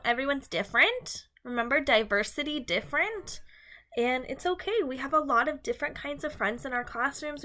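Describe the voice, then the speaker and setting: High-pitched woman reading to young children. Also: bright, forceful tone. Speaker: teacher reading a picture book aloud to young children. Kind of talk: read-aloud storytime for children.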